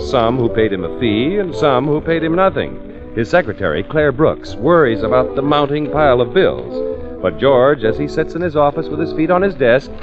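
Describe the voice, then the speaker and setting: An American man reading scripted lines as a 1940s radio announcer. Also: mid-range voice. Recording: muffled 1940s radio, loud music behind. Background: loud music.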